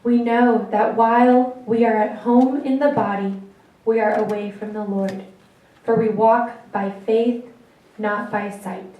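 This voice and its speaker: A woman gives a slow, solemn scripture reading. Her delivery is measured and formal. The recording is very echoey and somewhat dull, picked up from a distance. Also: a little high, steady tone. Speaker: scripture reader. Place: large reverberant room at a memorial service.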